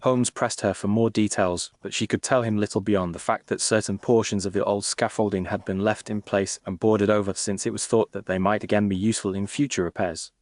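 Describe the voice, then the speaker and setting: Male audiobook narrator: lowish pitch, measured, formal storytelling, dry recording. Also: fairly even intonation, a little mellow, no echo. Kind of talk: scripted literary narration in first person.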